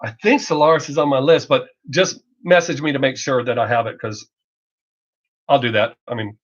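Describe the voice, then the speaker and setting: A middle-aged man with a Southern American accent and a drawl he talks over, chatting casually and bluntly on a livestream, rambling and joking. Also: medium pitch. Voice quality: Southern drawl masked by fast talk. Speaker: reaction video creator hosting a live stream. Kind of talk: casual livestream chat, off the cuff. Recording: dull lo-fi livestream audio.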